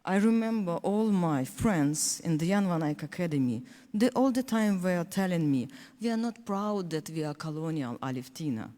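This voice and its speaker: A woman from Donetsk with a Ukrainian accent, a native Russian speaker, speaking as a panelist in earnest, halting English full of restarts. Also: on the low side, animated, dark tone, long reverb tail. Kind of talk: panel discussion speech.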